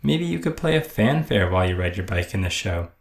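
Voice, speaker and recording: A man voicing a friendly, brisk animal show director from a children's storybook, breezy and commanding. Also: fairly low pitch, big pitch swings, gravelly, echoey room. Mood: encouraging.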